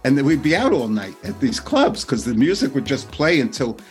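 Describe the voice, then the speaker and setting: Guy guest on a podcast, mid pitched, casually remembering stuff, soft music behind. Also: big pitch swings, brisk. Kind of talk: casual reminiscing talk.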